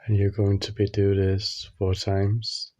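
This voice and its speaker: A man leading a guided meditation, giving gentle instructions in short phrases with long pauses. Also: fairly low pitch, completely flat delivery, no room sound.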